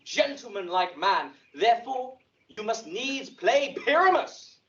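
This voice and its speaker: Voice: mid-range voice, very animated, a little slow, fairly bright tone. An actor playing an earnest, fussy leader of amateur players in a Shakespeare audio drama, coaxing and formal. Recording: muffled, distant audio drama playback.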